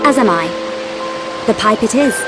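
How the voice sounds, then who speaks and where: A woman's voice: on the high side, expressive, moderate pace, over loud music, natural voice, poor audio. A woman playing a dignified, reserved young noblewoman in a video game, formal and poised. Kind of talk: video game cutscene acting. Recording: loud game score behind.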